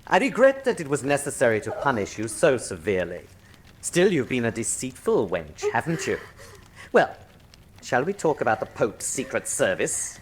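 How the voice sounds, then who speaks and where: A cold, cruel spymaster colonel in a radio drama. The voice is exceptionally low and moves freely in pitch, precise and mocking. There is faint noise in the recording. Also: female voice, wildly swooping pitch, normal speed, exaggerated voice. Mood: sardonic, gloating. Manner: sardonic, commanding, precise.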